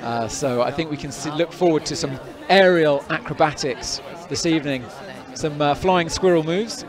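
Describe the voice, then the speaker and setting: A man's voice: a little high, moderate pace. A man doing live sports commentary and interviews, chatty and rambling with many fillers and restarts. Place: outdoors at a climbing event. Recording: crowd noise behind.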